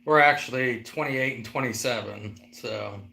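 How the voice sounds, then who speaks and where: A man's voice: mid-range voice, moderate pace, creaky, pushed and bright, echoey room. A man in his forties co-hosting a casual live-stream chat, rambling and teasing, with lots of "like". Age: 40s. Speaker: co-host.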